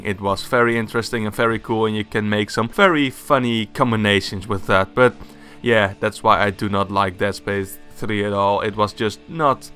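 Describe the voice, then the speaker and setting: A young man with a Dutch accent, casually giving his opinions on video games, enthusiastic and quick to laugh at himself. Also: on the low side, sharp tone, clean tone. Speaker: gaming YouTuber. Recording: faint background music.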